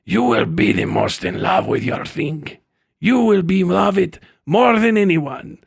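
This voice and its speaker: A man voicing a sly old fairy-tale witch who hands down a curse in slow, ominous pronouncements. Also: high voice, big pitch swings, creaky, husky, no room sound, studio quality, voice pushed up, full character voice.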